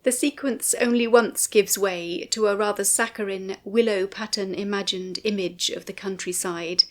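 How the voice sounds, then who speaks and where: Woman narrator reading a prepared commentary, mid pitched voice, formal and measured, careful phrasing. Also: steady tone, slight background noise. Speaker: writer and narrator of a music commentary. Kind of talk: scripted narration.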